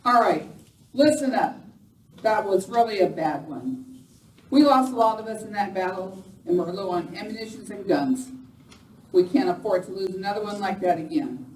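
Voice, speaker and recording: A woman's voice: mid-range voice, animated, normal speed, darkish tone, close to an everyday voice. A woman playing a tough, commanding female outlaw gang leader in a live amateur Western radio play. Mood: commanding, scheming. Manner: bossy, giving orders. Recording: roomy, distant mic, faint noise.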